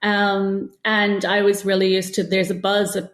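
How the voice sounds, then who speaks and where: A woman's voice: medium pitch, level tone, unhurried. A woman answering as an interview guest, conversational and self-deprecating, telling anecdotes and acting out what people said. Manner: self-deprecating, anecdotal, acts out reported remarks. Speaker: guest author and former TV producer. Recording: roomy, echoey sound.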